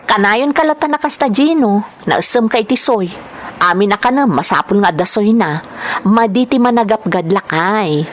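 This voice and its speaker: A woman playing a laborer's worried wife in a radio-style drama, with an Ilocano accent. She nags in a middle-pitched voice, exasperated yet caring. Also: lively intonation, moderate pace, lightly acted. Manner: nagging, exasperated but caring.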